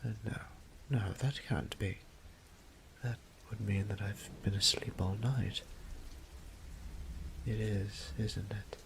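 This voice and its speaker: A man playing a gentle, apologetic old vampire priest in an intimate audio drama, speaking in formal, hesitant phrases with a breathy half-whisper. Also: on the low side, steady tone, slow pace, pauses often, slight background noise. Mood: apologetic, flustered. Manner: hesitant, formal old-fashioned wording, tender.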